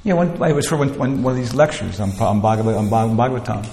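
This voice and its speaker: Male lecturer, casual rambling delivery, gravelly voice, echoey hall. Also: mid-range voice, animated, quick pace, a little mellow, slight background noise. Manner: rambling, many "you know" fillers and restarts. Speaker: teacher leading a scripture class. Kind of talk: informal spiritual lecture. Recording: roomy hall with long echo, faint ambience.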